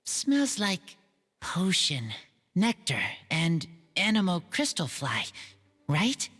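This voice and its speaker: A man playing a gentle, simple, earnest wild teenage boy in an anime-style game, speaking in halting, terse phrases. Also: sits high, wildly swooping pitch, big-room sound, a little hiss or hum, full character voice. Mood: sincere, mild.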